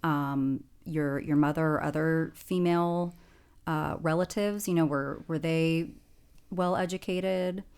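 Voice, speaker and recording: A woman's voice: low voice, steady tone, takes it slowly, darkish tone, clean tone. A woman hosting a podcast interview, asking follow-up questions and encouraging her guest with frequent mm-hmms. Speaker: podcast host. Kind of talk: casual interview.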